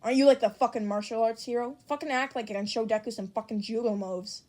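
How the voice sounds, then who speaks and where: A woman's voice: a little high, steady tone, fast talker, a little mellow, close to an everyday voice. A woman voicing a foul-mouthed, irritable teenage boy hero in an audiobook, aggressive and curt. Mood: irritated.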